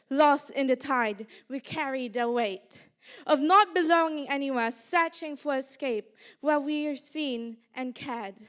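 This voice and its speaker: A woman's voice: high-pitched, smooth. A young woman reciting her own poem at a student event, earnest and stumbling slightly over words. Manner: earnest, reads with small stumbles. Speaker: student poet. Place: indoor conference stage.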